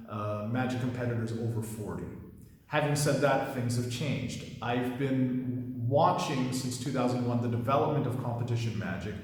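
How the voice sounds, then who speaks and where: A man's voice: medium pitch, darkish tone. A man in his thirties giving casual commentary as a magic coach, rambling, with frequent fillers and restarts. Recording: very echoey room with long reverb.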